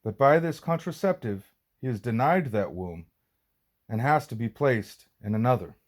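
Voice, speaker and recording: A man narrates a religious text in an audiobook style. His voice sits at an average male pitch. He reads formally, with measured and deliberate phrasing that sets each clause apart. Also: lively intonation. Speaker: reader of a spiritual text.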